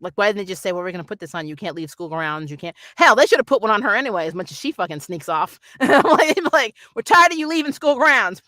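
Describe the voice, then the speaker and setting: A host chatting casually through a fan review, animated and blunt, with plenty of slang. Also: mid-range voice, quick pace, few pauses, no echo. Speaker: review host reading viewer comments.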